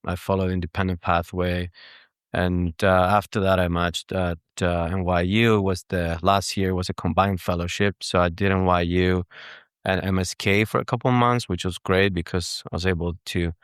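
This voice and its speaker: A man from Greece and Cyprus, answering interview questions casually and haltingly as a guest surgeon. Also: low voice, flat delivery, clear, resonant tone, no echo, clean studio sound.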